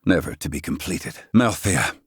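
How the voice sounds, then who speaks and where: Game role: curt, grumbling man of learning, low register, dramatic swings, archaic formal speech, dry close recording. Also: expressive, normal speed, breathy edge, dry recording, exaggerated voice. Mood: grave, wry. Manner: pompous, clipped, archaic formal diction.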